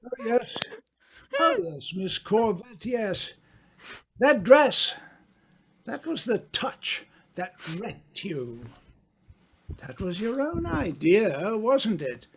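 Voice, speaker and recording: A man acting in an old-time radio mystery as a suave, smug gentleman sleuth, with a theatrical, accusing delivery. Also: high-pitched, very animated, extremely slow, creaky, pauses often, full character voice. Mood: relentless, triumphant.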